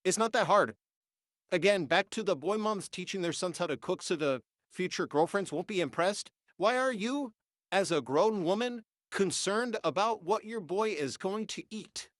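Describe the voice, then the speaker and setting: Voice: medium pitch, animated, normal speed, a bit bright, dry recording, clean studio sound. A nineteen-year-old vlogger, congested and sniffling, ranting heatedly and swearing through an AI voice filter. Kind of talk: unscripted rant.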